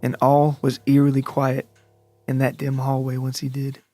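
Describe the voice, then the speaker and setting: A man narrating a scripted literary story for a podcast in a deliberate, dramatic, descriptive way. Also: mid-range voice, monotone, dark tone, dry recording, soft background music. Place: close, dry recording with music underneath.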